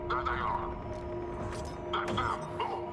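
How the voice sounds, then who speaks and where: An actor playing a gruff soldier comrade in a video game battle. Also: male voice, takes it slowly, loud music behind the voice, close to an everyday voice. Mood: brisk. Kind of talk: video game action acting.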